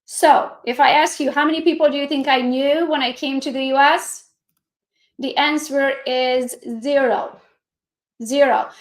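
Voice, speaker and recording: Chatty Hungarian-accented woman presenter. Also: sits high. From Romania. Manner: energetic, chatty, laughs often. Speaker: guest speaker. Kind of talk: live online presentation. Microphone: computer mic on video call.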